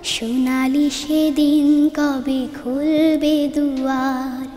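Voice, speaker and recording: A woman singing a slow devotional-style song as a guest singer. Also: high voice, flat delivery, mellow, soft background music. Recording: echoey reverb-heavy vocal microphone.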